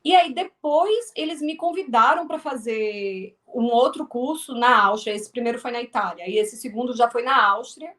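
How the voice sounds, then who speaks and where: High-voiced Northeastern Brazilian woman. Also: moderate pace, few pauses, fairly bright tone. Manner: chatty, many restarts and fillers. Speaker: filmmaker and actress guest. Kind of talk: casual interview talk.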